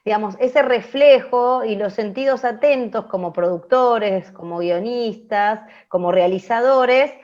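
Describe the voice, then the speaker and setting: A woman's voice: on the high side, unhurried, few pauses, darkish tone. A woman with an Argentine accent leading a conversational class, reflective and adding little tag questions, in a dull recording. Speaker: radio and podcast workshop instructor. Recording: dull lo-fi sound.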